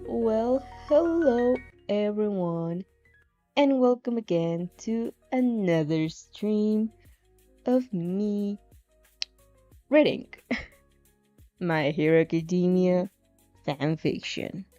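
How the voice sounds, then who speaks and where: A woman casually chatting on a livestream as she reads fan fiction, halting and repeating herself with little giggles. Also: medium pitch, dark tone. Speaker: streamer reading fan fiction aloud. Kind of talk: casual livestream chat and read-aloud. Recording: muffled, roomy home recording with music.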